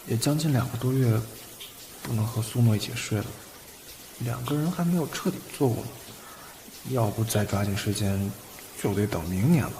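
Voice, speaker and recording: A man's voice: mid-range voice, normal speed, long pauses, background noise, natural voice. A man acting a bashful, cheeky young man who stammers and gets flustered with his older boyfriend in a Chinese audio drama. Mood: musing. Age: young adult. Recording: loud background music.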